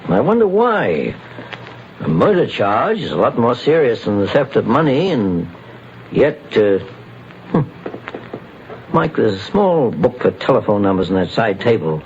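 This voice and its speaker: An actor playing a measured, authoritative private investigator in a 1940s-style radio drama. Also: male voice, fairly low pitch, big pitch swings, a little mellow, muffled recording. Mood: calm. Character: calm, shrewd male private investigator. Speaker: detective. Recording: hissy old radio broadcast.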